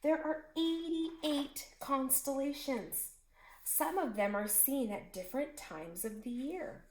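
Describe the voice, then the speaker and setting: A woman reading a children's picture book aloud as a teacher, in a slow and expressive storytelling manner. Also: high-pitched, echoey room, poor audio.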